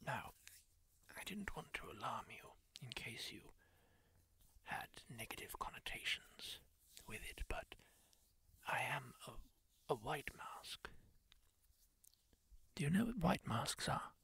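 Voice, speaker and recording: A man doing a whispered roleplay, voicing a kindly former war surgeon who soothingly tends a wounded stranger, gentle and reassuring up close to the mic. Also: medium pitch, big pitch swings, creaky, exaggerated voice. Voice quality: soft whisper, slow and soothing. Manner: gentle, reassuring, asks permission. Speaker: ASMR roleplayer.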